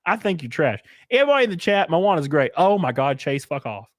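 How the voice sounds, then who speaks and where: Man, stream host, crude teasing banter, animated pitch, dry close recording. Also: quick pace, dry recording. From Southern US. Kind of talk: casual livestream chat.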